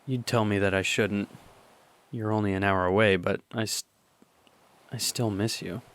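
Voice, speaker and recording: A man's voice: fairly low pitch, steady tone, smooth, close to an everyday voice. Young man playing a nervous lovesick teen boy. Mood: wistful. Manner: halting, stammering, soft. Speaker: radio drama actor. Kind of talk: student radio drama monologue.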